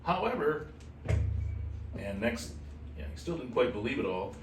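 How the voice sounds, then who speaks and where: A man reads a children's storybook in an expressive way, dropping in casual asides. His voice sits in the middle register, a little away from the mic in an echoey room with faint background noise. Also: medium pitch, measured pace, recorded from a distance. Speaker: host reading a children's book aloud. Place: animal shelter room with kittens.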